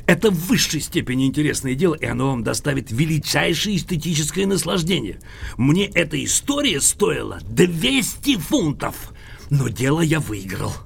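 An actor in an audio drama playing a cranky, boastful, litigious old English gentleman, emphatic, pompous and theatrical, with a creaky, husky voice. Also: on the high side, big pitch swings, normal speed, full character voice. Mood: proud, indignant. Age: older.

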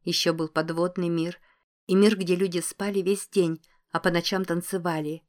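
Audiobook teenage girl voiced by a woman, guarded, emotional, careful wording, even intonation. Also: lowish voice, close to an everyday voice. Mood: wistful, opening up. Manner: careful, slow to choose words, emotional. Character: guarded teenage girl sharing memories of her mother. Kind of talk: audiobook character dialogue.

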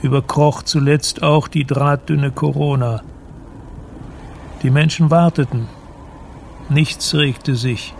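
An actor as a grave storyteller, delivering scripted literary narration for a radio drama. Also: male voice, a little high, steady tone, slow, vocal fry, long pauses, a little mellow, slight background noise.

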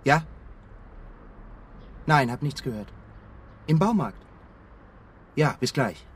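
Lively, evasive dad in a noisy car. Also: male voice, mid-range voice, takes it slowly, far from the mic. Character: evasive, affectionate family father covering his tracks. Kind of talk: naturalistic German radio drama acting. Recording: clearly noisy, car ambience.

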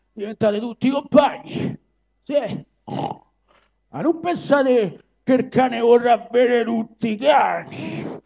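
A man's voice: very high-pitched, gravelly, voice pushed up, heavily acted. A man voicing a smug trained pig, arguing slyly in verse with a Roman accent. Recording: dull live recording.

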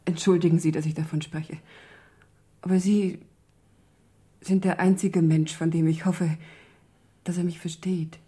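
An actress playing a subdued, troubled young woman in a German crime radio play, speaking softly and haltingly in a breathy, husky voice. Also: on the low side, flat delivery. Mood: sad, halting. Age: young adult. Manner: halting, soft-spoken.

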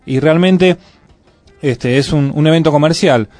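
A man's voice: on the high side, measured pace, a little mellow, no echo, faint music behind. A man hosting a community radio show, telling rambling anecdotes with many fillers. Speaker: radio host. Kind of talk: casual radio chat.

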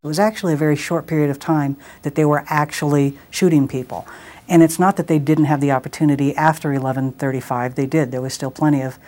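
A man's voice: on the high side, fairly even intonation, quick pace, a little mellow. An American man giving reflective interview analysis, emphatic and inclined to repeat himself.

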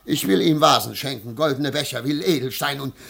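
An actor playing a greedy, jealous, hot-tempered merchant in a German radio play, theatrical and sly. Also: male voice, medium pitch, brisk, gravelly, fairly bright tone. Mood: brooding.

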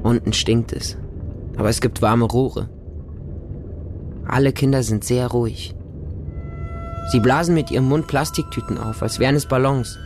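A man's voice: mid-range voice, wildly swooping pitch, moderate pace, long pauses, music in the background. A man playing a lonely, naive small homeless boy who narrates his life in a radio drama in short, plain sentences. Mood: lonely, wary.

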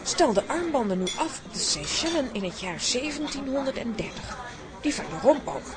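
A woman playing a bossy, excitable aunt in a children's adventure audio drama, theatrical and exclamatory. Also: high voice, normal speed, crowd noise. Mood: instructing. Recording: loud ambient noise behind.

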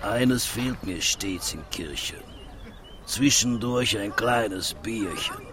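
An actor playing a coarse, grumbling churchgoer with a German accent in a verse radio play. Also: male voice, fairly low pitch, lively intonation, slow, pushed and bright, a little hiss or hum, exaggerated voice. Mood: grumbling, relieved. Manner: crude, grumbling. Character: coarse, grumbling German male churchgoer who loves beer.

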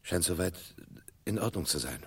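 A man's voice: deep voice, animated, normal speed, very noisy. An actor playing a guarded, terse male customer buying guns in a radio drama. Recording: voice distant from the mic.

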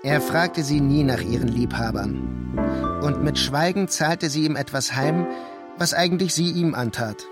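A man reading scripted literary narration for a radio play in a measured, deliberate way. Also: mid-range voice, very animated. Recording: prominent music under the voice. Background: music.

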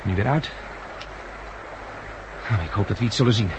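A man's voice: fairly low pitch, normal speed, lightly acted. An actor playing an earnest, hopeful, protective man in a 1960s Dutch radio play, on a noisy recording. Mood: determined.

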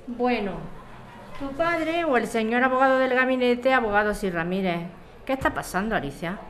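A woman's voice: fairly high pitch, normal speed, natural voice. A woman acting a firm, resolute neighbour facing eviction in an audio drama. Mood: hurt. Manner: reproachful, firm. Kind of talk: audio fiction podcast acting.

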